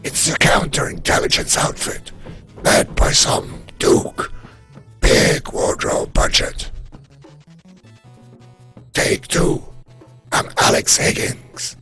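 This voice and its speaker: A man voicing a self-important masked crime fighter with a hushed, breathy voice in an amateur comic dub. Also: takes it slowly, pauses often, faint music behind.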